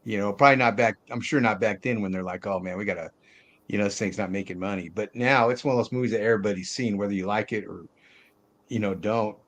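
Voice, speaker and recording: A man's voice: fairly low pitch, quick pace, a bit bright. A man co-hosting a casual movie discussion, giving blunt opinions in salty, informal language. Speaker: movie podcast co-host.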